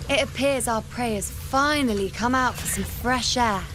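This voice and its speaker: A woman's voice: on the high side, normal speed, background noise, lightly acted. An actress playing a confident, wry young warrior woman in story dialogue for a video game. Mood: teasing, confident. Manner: wry, self-assured, teasing.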